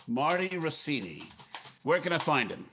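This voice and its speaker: An actor with an American accent playing a driven newspaper editor who narrates a radio crime drama in hardboiled style. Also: male voice, a little high, sing-song, moderate pace, bright, forceful tone, slight background noise. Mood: businesslike. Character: driven, hard-bargaining newspaper editor narrating his story.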